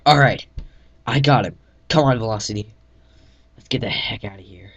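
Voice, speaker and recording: A man voicing a brave pony soldier giving urgent, forceful battlefield orders in an amateur fan fiction reading. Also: mid-range voice, moderate pace, slightly rough. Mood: urgent, determined. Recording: dull lo-fi mic in echoey room.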